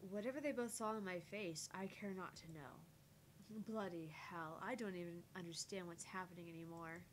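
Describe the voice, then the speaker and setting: A woman streamer reading a visual novel aloud as theatrical first-person narration, with deliberate phrasing. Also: fairly low pitch, rough recording. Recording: loud background noise, electrical hum, clicks.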